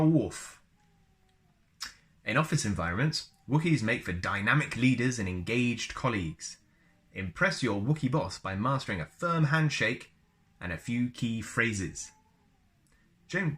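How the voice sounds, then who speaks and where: A man's voice: lowish voice, fairly bright tone. A man reading a book aloud as a storyteller, with playful, chatty asides for young listeners. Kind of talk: read-aloud narration with casual asides.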